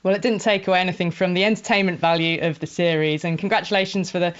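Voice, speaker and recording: A woman's voice: fairly low pitch, steady tone, fast talker, clear, resonant tone. Woman sports host, slightly dull sound. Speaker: sports show host, interviewer. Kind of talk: conversational broadcast interviewing.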